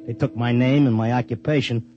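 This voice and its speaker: An actor in a 1950s radio drama playing a streetwise, wisecracking racetrack hustler who also narrates. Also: male voice, mid-range voice, fairly even intonation, a little fast, dark tone, lo-fi sound, soft background music, natural voice. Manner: streetwise slang, hard-boiled. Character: wisecracking racetrack hustler, tough-talking husband. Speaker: narrator and lead.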